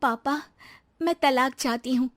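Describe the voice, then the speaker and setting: A woman's voice: high-pitched, a little slow, pitched above the performer's own voice. A woman voicing an earnest, wronged young daughter-in-law in a moral story, pleading yet firm. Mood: resolute. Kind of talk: dramatized Hindi moral story.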